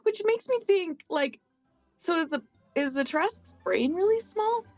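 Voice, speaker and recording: A woman's voice: very high-pitched, lively intonation, moderate pace, a little mellow, dry recording, faint music behind. A woman on a casual discussion panel, thinking aloud somewhat tentatively as she gives her view. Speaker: podcast panelist.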